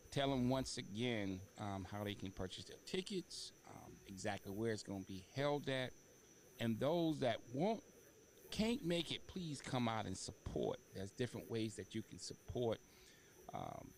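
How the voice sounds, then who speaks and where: A man's voice: lowish voice, slight background noise. A man hosting a talk show, speaking casually and feeling his way through his questions.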